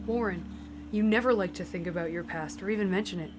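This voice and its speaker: Level-headed female reaper, played by an actress, medium pitch, dry and direct, audio drama, music underneath. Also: quick pace, natural voice. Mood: firm, probing. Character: level-headed female reaper, probing peacekeeper among colleagues. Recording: music behind the voice.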